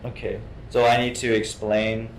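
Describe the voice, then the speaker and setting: Male guest actor from Hong Kong, low voice, halting and repetitive in informal talk, roomy echo. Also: little pitch movement. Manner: halting, repeats words. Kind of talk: informal artist talk, answering questions. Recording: echoey room, faint rumbling noise.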